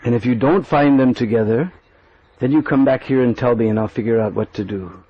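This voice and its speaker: A man's voice: medium pitch, fairly quick, slightly rough, pitched below the performer's own voice, natural voice. A man voicing a jealous young husband who grumbles and plots to spy. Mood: brooding. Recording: dull dry recording.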